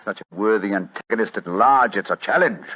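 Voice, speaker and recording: An actor playing a keen, courteous, retired English detective with a British accent, clipped and urbane, in 1940s radio drama style. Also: male voice, on the low side, a little fast, breathy edge. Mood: amused admiration. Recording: muffled old radio broadcast.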